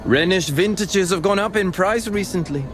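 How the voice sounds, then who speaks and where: An actor playing a talkative, cynical medieval wine seller in a video game, with a folksy, wry storytelling manner. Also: male voice, sits high, normal speed, noisy background, close to an everyday voice. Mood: salesmanlike. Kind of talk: video game cutscene acting. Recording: music behind the voice.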